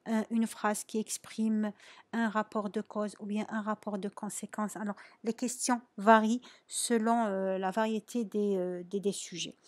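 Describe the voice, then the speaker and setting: A woman's voice: mid-range voice, darkish tone, no echo. A woman teaching a French grammar lesson. She asks her students questions and answers them herself, stressing the key words. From Algeria.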